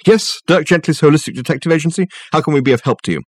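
A man's voice: a little high, rapid-fire, a little mellow, no echo, voice pushed up, lightly acted. A man voicing a testy, grandiose private detective with pompous, glib, fluent patter. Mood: smoothly businesslike.

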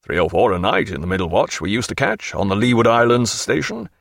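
A man's voice: lowish voice, sing-song, brisk, vocal fry, fairly bright tone, no echo, clean studio sound, heavily acted. A man voicing a genial, hearty naval captain with a bluff, courteous, old-fashioned way of talking, in an audiobook. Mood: genial, reminiscing.

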